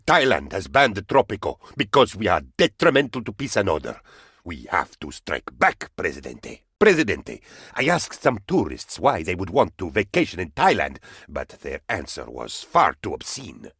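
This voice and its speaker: Animated, fawning island advisor. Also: male voice, low-pitched, moderate pace, breathy edge, no echo, studio quality, full character voice. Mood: alarmed, scheming. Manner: theatrical, flattering, melodramatic. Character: excitable, fawning male presidential advisor on tropical island. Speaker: video game character voice actor. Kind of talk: animated video game voice lines.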